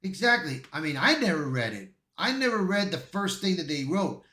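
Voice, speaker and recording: A man's voice: on the high side, big pitch swings, moderate pace, sharp tone. A man giving online commentary, reading out an article with casual asides and stumbling over some words. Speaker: YouTube commentator. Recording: echoey untreated room.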